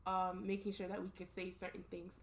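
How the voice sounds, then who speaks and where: A woman in her thirties, from Somalia, a podcast guest telling her story in a measured, calm way. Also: lowish voice, a little hiss or hum. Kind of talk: casual interview chat.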